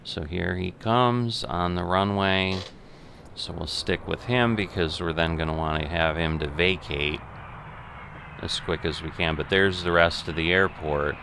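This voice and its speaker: A middle-aged man giving unscripted simulation game commentary, thinking aloud in a methodical, halting way. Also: low voice, gravelly, pushed and bright, a little hiss or hum. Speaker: gaming video host.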